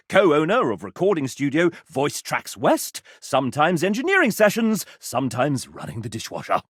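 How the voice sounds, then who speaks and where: A man's voice: on the high side, a bit bright, slightly rough, dry recording, clean studio sound, heavily acted. An actor playing a sassy, theatrical male guide, narrating a video game in an arch, chatty way.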